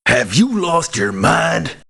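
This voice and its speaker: Gravelly, hot-tempered mercenary. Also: male voice, very animated, slow pace, pushed and bright, full character voice. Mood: angry, loud. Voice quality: gravelly, husky. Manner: blunt, cranky, folksy swearing. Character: gruff, hot-tempered, blunt mercenary man for hire. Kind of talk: video game character voice lines. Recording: low sample rate game audio clips.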